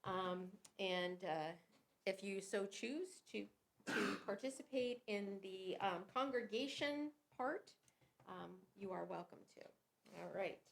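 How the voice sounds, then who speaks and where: Halting woman introducing a church play. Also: mid-range voice, gravelly, slight background noise. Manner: halting, with many fillers. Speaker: church drama presenter. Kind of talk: casual spoken introduction.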